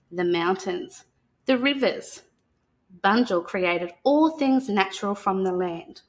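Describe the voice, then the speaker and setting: An Australian woman speaking as a guest storyteller, talking and reading a picture book aloud in a gentle manner. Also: mid-range voice. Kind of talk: unscripted talk and picture-book read-aloud.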